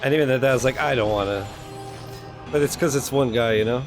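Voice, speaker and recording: A man's voice: mid-range voice, quick pace. A man chatting casually as a streamer reacting to a game, blunt, slangy and freely swearing. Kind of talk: casual live-stream chat. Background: game music.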